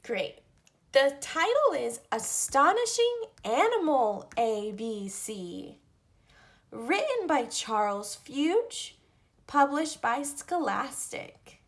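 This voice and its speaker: A woman reading a picture book aloud to children and teaching the alphabet, with deliberate pauses between phrases. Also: high-pitched, sing-song. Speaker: read-aloud storyteller for children.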